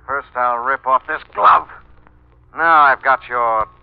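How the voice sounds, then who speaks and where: An actor playing a relentless, mocking invisible avenger of crime in 1940s radio drama style, menacing and deliberate. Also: male voice, mid-range voice, smooth. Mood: coldly triumphant. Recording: old muffled radio broadcast with music.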